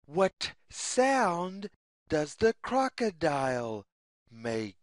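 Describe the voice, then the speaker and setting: A woman reads a children's picture book at an extremely slow, deliberate pace. Her slightly low voice rises and falls widely in a sing-song pattern, with each short phrase set apart. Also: big pitch swings, no echo, clean studio sound. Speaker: children's story reader. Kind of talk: read-aloud picture book narration for young children.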